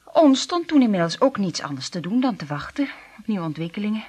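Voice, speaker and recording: Husky-voiced, earnest woman secret agent. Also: medium pitch, sing-song, a little fast, warm tone. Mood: grave, recounting. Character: female secret agent princess, earnest and conflicted. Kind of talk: Dutch radio play acting.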